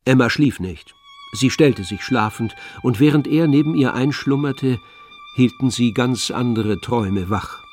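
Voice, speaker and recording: A man's voice: medium pitch, a little mellow. Radio drama narrator: man, mid-range voice, composed storytelling pace, faint music underneath. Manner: measured, storytelling.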